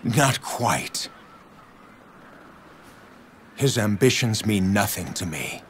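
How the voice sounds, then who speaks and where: Cold, very slow young warlord. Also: male voice, on the low side, animated, fairly bright tone, slight background noise, full character voice. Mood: cold defiance. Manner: cold, deliberate. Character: cold, self-serving young male warlord. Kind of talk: dramatic video game cutscene acting.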